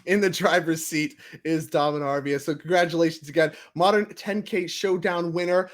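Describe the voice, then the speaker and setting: A man's voice: fairly high pitch, steady tone. A man hosting a card tournament stream, interviewing the winner in an upbeat, congratulatory way. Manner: upbeat, congratulatory, quick to laugh. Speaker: event host and interviewer.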